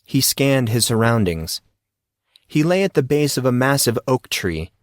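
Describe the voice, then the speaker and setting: A man narrating scripted fiction as an audiobook, in a measured, dramatic storytelling manner. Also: medium pitch, very animated, dry recording, clean studio sound.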